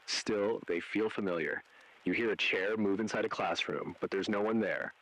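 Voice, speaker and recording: A man playing an ominous agent who narrates an interactive horror warning in grave, deliberate, foreboding second-person script. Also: lowish voice, steady tone, a bit bright, low-quality recording. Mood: eerie, hushed suspense.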